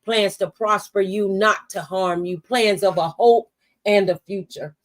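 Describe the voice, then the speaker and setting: A woman's voice: medium pitch, steady tone, unhurried. A woman hosting a faith-based radio talk show, speaking in an encouraging, preacherly manner.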